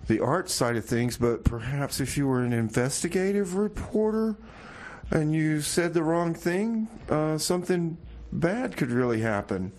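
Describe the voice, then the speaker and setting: A man hosting a casual radio interview, chatty and halting, often repeating words as he builds a question. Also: on the high side, expressive, darkish tone. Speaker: radio show host, interviewer.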